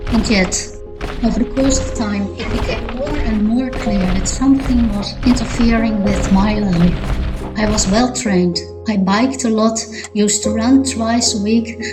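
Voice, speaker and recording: A woman's voice: medium pitch, poor audio. A middle-aged woman narrating her own story as a personal testimony. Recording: music behind the voice. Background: music.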